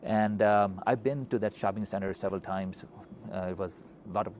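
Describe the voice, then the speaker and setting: Low-voiced middle-aged man, Pakistani accent. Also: brisk. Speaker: interviewer, physician hosting a talk. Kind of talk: conversational interview with storytelling. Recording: noticeable steady background noise.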